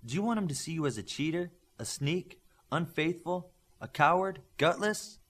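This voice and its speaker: A man voicing a stern, principled instructor who lectures young superhero trainees in measured, emphatic audiobook dialogue. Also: on the high side, expressive, fairly bright tone, voice pushed up. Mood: stern, lecturing. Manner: emphatic, didactic, measured lecturing with rhetorical questions.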